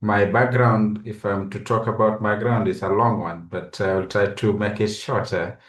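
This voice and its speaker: A man's voice: fairly low pitch, steady tone, normal speed. A man with a Kenyan accent giving personal, explanatory answers as an interview guest. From western Kenya. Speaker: guest, physicist and university lecturer.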